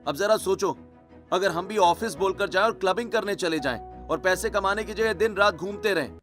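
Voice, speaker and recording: A man's voice: sits high, moderate pace, fairly bright tone, soft background music. A man voicing a stern young husband in a cartoon story, firm and accusing. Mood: stern, reasoning. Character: stern, angry young husband scolding his wife.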